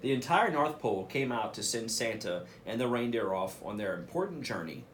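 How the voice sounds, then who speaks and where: Man, school principal, children's story reading, expressive, direct address to kids, animated intonation, faint noise behind. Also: medium pitch, fairly quick. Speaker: school principal reading a picture book aloud. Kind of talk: read-aloud for children, casual asides.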